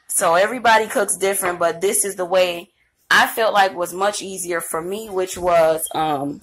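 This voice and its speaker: A woman's voice: on the low side, moderate pace, a bit bright, poor audio. A middle-aged woman hosting a home cooking video, chatting casually to viewers as she cooks in her kitchen while filming with her phone. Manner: chatty, rambling, talks straight to viewers. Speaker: home cooking channel host. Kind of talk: casual cooking demo chat. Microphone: handheld phone camera.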